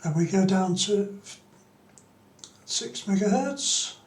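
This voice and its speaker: A man with a noticeably high-pitched voice presents an informal, unscripted tutorial. He explains each step as he demonstrates it on screen. The recording has a clear room echo around the voice. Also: expressive, slow.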